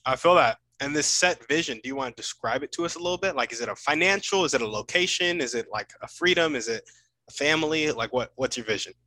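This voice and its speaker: Guy hosting a podcast, bright punchy voice, casual and encouraging. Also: a little high, lively intonation, fast talker, slight background noise. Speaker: podcast host and interviewer. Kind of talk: upbeat podcast intro and casual interview.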